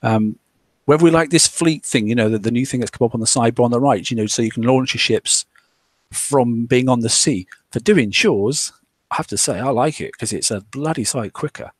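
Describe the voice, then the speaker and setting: A man's voice: mid-range voice, brisk, no echo. A man hosting a casual discussion, rambling along with lots of fillers and restarts. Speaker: podcast host.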